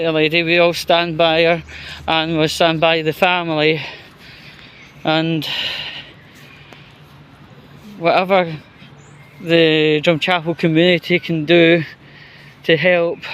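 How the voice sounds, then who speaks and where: Scottish man, vlogger commentary, unhurried and halting, rambling, higher-than-average pitch, light background vehicle noise. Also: fairly bright tone. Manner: halting, hesitant, rambling delivery. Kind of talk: unscripted solo vlog commentary.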